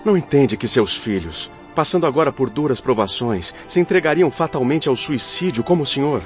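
A man plays a stern, compassionate guardian in a radio drama. He speaks in a mid-pitched voice with firm, formal, admonishing delivery. The recording is dull, with music underneath. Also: animated, a little fast, close to an everyday voice. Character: stern yet compassionate male guardian spirit.

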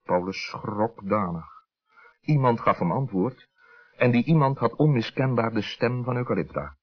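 A man narrating a scripted children's story as an expressive storyteller. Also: mid-range voice, normal speed. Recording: dull 1960s radio recording.

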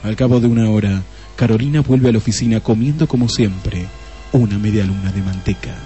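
A man's voice: on the low side, brisk, dark tone, soft background music, natural voice. An actor playing the narrator of a comic detective radio play, a man reading scripted storytelling in Spanish.

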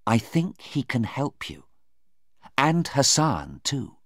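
A worried, protective mother from a storybook, performed by a man. The voice is lifted in pitch and very animated. It sounds urgent and loving. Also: slow pace, dry recording, studio quality. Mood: reassuring, urgent. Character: anxious, loving older mother of a grown daughter.

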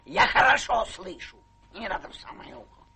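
A sour old servant woman, full of grudging mutters. Her voice is gravelly and creaky in theatrical radio play style, with a faint hiss behind it. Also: mid-range voice, animated, moderate pace, sharp tone.

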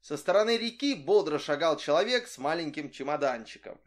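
A man reading an audiobook narration in a calm, even voice. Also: fairly high pitch, a little fast. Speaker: audiobook reader.

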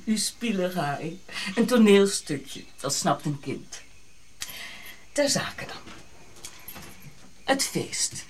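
A woman's voice: medium pitch, animated, extremely slow, pauses often, a little hiss or hum. A middle-aged woman playing a pushy colonial society lady in a radio drama, gushing, grand and coquettish. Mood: pushy, businesslike.

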